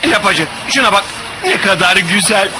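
A man's voice: high voice, lively intonation, normal speed, background noise. A man playing a boastful, greedy, short-tempered pirate captain in a dubbed cartoon, talking pompously and theatrically. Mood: greedy, whining. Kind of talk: dubbed cartoon comedy acting.

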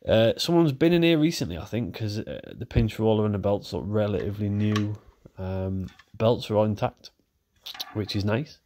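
A man's voice: on the low side, moderate pace, a little hiss or hum. A man with a stuffy, nasal voice from a cold, chatting casually as he walks through a repair. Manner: chatty, relaxed, talks while working with hands. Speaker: repair video host.

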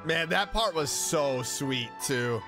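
Male game streamer, high voice, animated swoops, casual enthusiastic reactions, game music behind. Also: takes it slowly. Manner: expressive, enthusiastic. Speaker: gameplay streamer.

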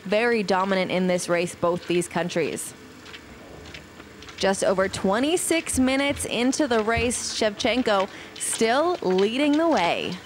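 A woman giving recap commentary as a voice-over on a cross-country ski race, in a brisk play-by-play style. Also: mid-range voice, lively intonation, slight background noise. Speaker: sports commentator.

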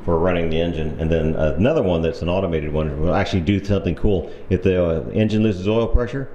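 An older man with a lowish voice talks casually while he directs a crew in a cluttered metal workshop. His voice rings around the hard walls, and machinery clatters behind him. He cracks jokes between instructions. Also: fast talker, warm tone, highs cut off. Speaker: boat builder vlog host. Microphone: handheld camera at arm's length. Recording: echoey workshop with machinery noise.

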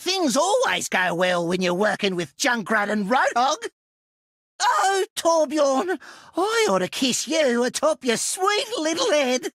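A cackling, manic Aussie bomb-maker. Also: male voice, big pitch swings, slow pace, a bit bright, no echo, heavily acted. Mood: manic glee. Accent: Australian. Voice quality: high, shrill, cackling. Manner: giddy, scatterbrained, theatrical. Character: manic, cackling young male junkyard demolitions maniac. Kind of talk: video game character voice lines. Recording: dry studio recording.

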